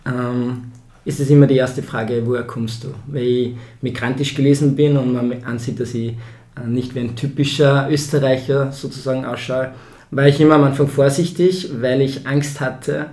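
Young man, mellow voice, roomy sound. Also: mid-range voice, steady tone, normal speed. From born in Israel, raised in Austria. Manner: reflective, speaks in long winding sentences with many fillers. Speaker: social worker, podcast guest. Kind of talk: casual conversation. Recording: roomy echo.

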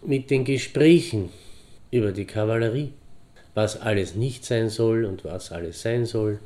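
An actor playing a pensive middle-aged divorced horseman, reminiscing in deliberate, halting phrases. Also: fairly low pitch, mellow, natural voice. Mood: wistful, matter-of-fact. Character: pensive divorced hobby horseman fondly remembering a friend. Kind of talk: reflective anecdotal monologue in an audio drama.

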